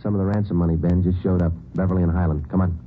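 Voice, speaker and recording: A man voicing a terse police sergeant, deep and low, narrating in clipped, matter-of-fact sentences at a brisk clip. The sound is tinny, like an old radio broadcast with music under it. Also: steady tone, lightly acted. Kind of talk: 1950s radio drama narration.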